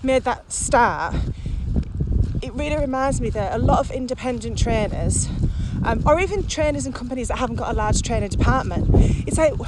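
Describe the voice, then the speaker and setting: A woman's voice: fairly high pitch, lively intonation, normal speed. Woman co-hosting outdoors, blunt, laughs a lot, swears, wind noise behind her. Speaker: podcast co-host, virtual training company. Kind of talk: casual chat while walking outdoors. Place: outdoors, windy.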